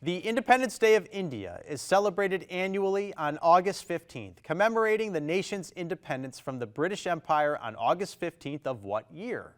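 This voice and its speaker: Upbeat quiz show host reading questions. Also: male voice, sits high, lively intonation. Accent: Maine. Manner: brisk and upbeat, quick reactions to answers. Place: television studio.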